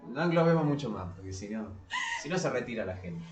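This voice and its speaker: A man's voice: medium pitch, animated, fairly quick. A young man with an Argentine accent co-hosting a podcast in casual, jokey chat, repeating himself for emphasis. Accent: Argentine Spanish. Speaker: podcast co-host. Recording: echoey, distant mic, crowd noise behind.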